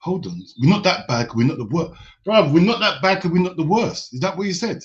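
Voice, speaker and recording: Man, caller, casual chat, excitable, slangy, dull call audio. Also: high voice, fairly quick. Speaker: caller joining the stream.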